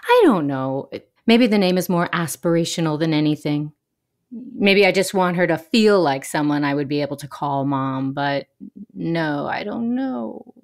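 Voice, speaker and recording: An actress playing a sincere, sentimental daughter-in-law in a comedy audio drama, speaking earnestly and haltingly. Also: female voice, low-pitched, expressive. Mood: tender, tentative. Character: sincere, sentimental woman eager to belong with in-laws.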